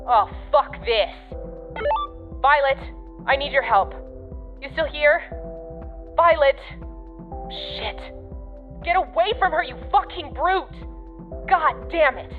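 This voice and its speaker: A woman's voice: high-pitched, phone-quality sound. An actress playing a blunt, sardonic, foul-mouthed woman agent of a secret department in an audio drama. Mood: urgent, furious. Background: music.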